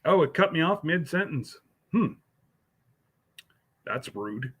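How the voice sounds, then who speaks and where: A man's voice: on the high side, very animated, slow, slightly rough. A man hosting a casual live stream, rambling along as he answers viewers by name, with many fillers and restarts. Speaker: livestream host.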